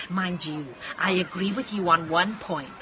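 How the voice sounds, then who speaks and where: A woman's voice: on the low side, measured pace, sharp tone. A woman playing a chatty, domineering middle-aged American wife in a radio drama, gushing and forever seeking agreement. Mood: chatty, fussy. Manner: gushing, garrulous, seeks constant agreement.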